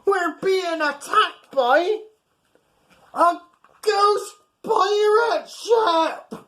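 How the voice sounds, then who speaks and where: Woman playing a high-pitched fishing skipper, storybook character, boastful, easily panicked, loud and excitable. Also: slow pace, full character voice. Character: boastful then panicky male trawler skipper.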